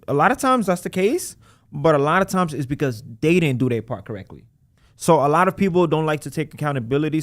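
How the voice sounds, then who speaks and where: A man who runs a credit repair business, talking casually in an interview and acting out his callers in quick voices. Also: medium pitch, no room sound, clean studio sound. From United States.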